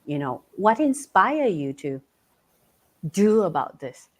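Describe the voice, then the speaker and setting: A woman's voice: medium pitch, sing-song, slow pace. A woman from Malaysia, a native Cantonese speaker, speaking English with a Malaysian accent as the earnest, encouraging host of a live online talk show, heard over a video call.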